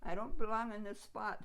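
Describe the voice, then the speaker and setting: An older woman from Slovakia, a native Slovak speaker, casually reminiscing in an interview in a halting way, often repeating her phrases. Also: mid-range voice, a bit bright. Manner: halting, repeats phrases, chuckles while recalling.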